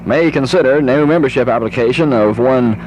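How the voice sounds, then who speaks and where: A man's voice: mid-range voice, brisk. A man reading a comic news bulletin as a college radio disc jockey, with theatrical joke-telling delivery. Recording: noisy 1970s radio aircheck tape.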